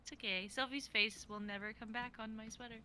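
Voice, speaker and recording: A woman's voice: a little high, steady tone, brisk. A woman in her twenties chatting casually in a group of performers. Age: 20s. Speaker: member of a reading group. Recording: clear background noise with clicks.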